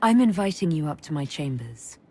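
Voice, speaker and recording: An actress voicing an earnest noblewoman who leads, speaking in a calm, sincere way. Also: low-pitched, fairly quick, breathy edge, full character voice. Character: noble human woman leader, earnest.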